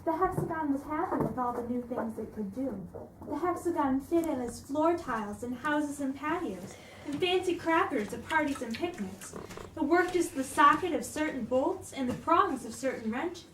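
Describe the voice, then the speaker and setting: A woman reading a storybook aloud in an expressive, theatrical way. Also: sits high, normal speed. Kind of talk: dramatic storybook read-aloud. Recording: roomy, distant microphone with clear noise.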